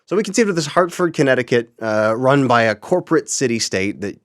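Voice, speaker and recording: A man's voice: mid-range voice, lively intonation, brisk, no echo, studio quality. A young man explaining his creative project as an interview guest, conversational and articulate with a wry streak.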